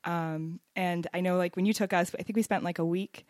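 A woman's voice: lowish voice, fairly even intonation, fairly quick, dry recording, studio quality. A woman in her thirties hosting a travel podcast, upbeat and chatty in casual conversation. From United States. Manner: upbeat, chatty, laughs often. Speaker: podcast host.

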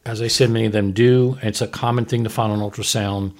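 A man's voice: fairly low pitch, fairly even intonation, fast talker, a little mellow. A man hosting a casual podcast discussion as a physician, explaining medical points in a conversational way. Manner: conversational, explanatory, checks with "right?". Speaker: host, fetal surgeon reviewing a TV episode.

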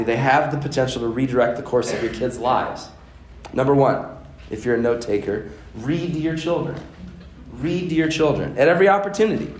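A man's voice: fairly high pitch, a little mellow. A middle-aged man giving an earnest seminar talk to parents, building to emphatic repetition. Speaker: seminar speaker on education. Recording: echoey room.

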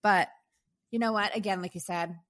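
Woman, mid pitch, dry recording, upbeat co-host, slight cold. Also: steady tone, normal speed, fairly bright tone, no room sound. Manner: upbeat, runs down the episode rundown. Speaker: podcast co-host. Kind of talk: casual podcast chat. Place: New York.

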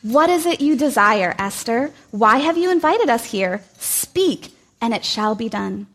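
A bossy male king bellows his orders, as a woman storyteller acts him out. His voice rises high rather than low, and every line lands with force. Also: lively intonation, measured pace. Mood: regal. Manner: booming, imperious outbursts. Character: hot-tempered male Persian king, commanding.

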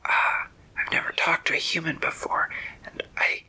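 A man doing a whispery, breathy garden snake. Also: normal speed, bright, forceful tone, low-quality recording. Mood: shy. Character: hissing whispery green garden snake, gentle.

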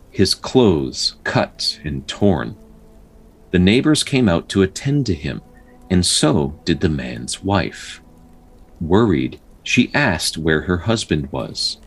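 A man hosting a storytelling show, narrating folktales in a measured, dramatic way with casual asides and a husky voice. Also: deep voice. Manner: measured, pausing delivery when telling the tale.

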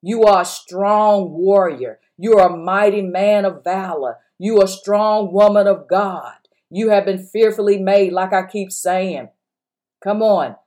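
A woman's voice: medium pitch, a little slow, darkish tone. A woman pastor with a strong voice, preaching an encouraging sermon on a podcast and exhorting her listeners with repeated phrases.

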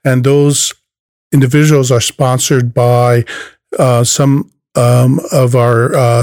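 A man who leads a nonprofit program, answering interview questions in a halting way with many fillers and restarts. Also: medium pitch, darkish tone, no room sound, clean studio sound. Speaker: nonprofit program leader, guest.

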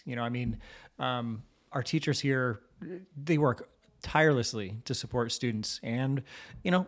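Man, mid-range pitch, podcast host, earnest, rambling, motivational talk. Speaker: podcast host, school administrator. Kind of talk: casual interview talk.

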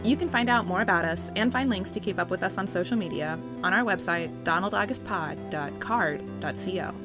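A woman's voice: on the low side, brisk. A woman delivering a scripted podcast outro as the show's producer. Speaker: podcast producer reading closing credits. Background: music.

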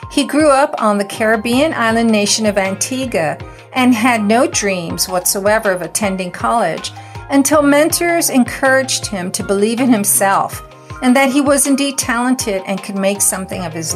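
A woman's voice: medium pitch, expressive, normal speed, soft background music. A woman hosting an interview podcast, earnest and encouraging, reading her introductions and promos. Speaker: podcast host. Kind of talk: scripted intro then interview chat.

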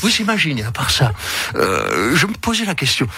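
A man's voice: medium pitch, huge pitch swings, moderate pace, gravelly, pushed and bright, slightly rough, dry recording, full character voice. A man voicing a gleeful, exclaiming royal gossip with a sing-song delivery in a satirical radio sketch.

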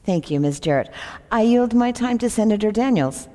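A woman's voice: on the high side, animated, normal speed, warm tone, close to an everyday voice. A woman playing a procedural senator questioning a witness in an audio drama, formal, direct and methodical. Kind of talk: fiction podcast audio drama. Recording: echoey hall with long reverb.

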